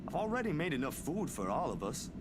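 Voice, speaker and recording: Animated, easygoing young man. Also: on the high side, quick pace, heavy background noise. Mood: inviting. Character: easygoing, friendly young man who likes to cook. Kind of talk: visual novel voice acting. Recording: loud game music over the voice.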